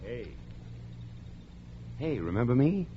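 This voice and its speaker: A man's voice: medium pitch, big pitch swings, slow. An actor playing a devoted, easygoing male lover with a teasing, informal manner in mid-century radio drama. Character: playful, devoted male lover, a piano player. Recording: dull, noisy old radio recording.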